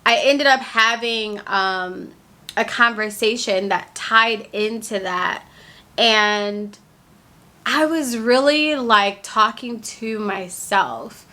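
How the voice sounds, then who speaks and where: A young woman chats straight to her camera on a stand in an easygoing heart-to-heart. Her tone is bright and forward, and she takes her time, with plenty of filler words along the way. A leftover cold leaves her sounding a touch congested. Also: fairly high pitch, slow pace. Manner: chatty, candid, many fillers like "like". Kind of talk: casual heart-to-heart vlog.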